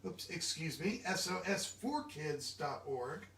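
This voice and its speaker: A man giving an animated, expressive children's storybook read-aloud, engaging his listeners directly. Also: a little high, slow, vocal fry, a little hiss or hum. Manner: expressive, interactive, asks listeners questions. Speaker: children's book reader. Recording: echoey room, distant mic.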